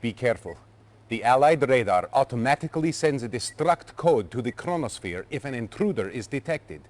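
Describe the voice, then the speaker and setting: An actor playing an officious Soviet military aide, formal and insistent, in a video game cutscene. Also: male voice, medium pitch, lively intonation, moderate pace, lightly acted. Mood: urgent warning.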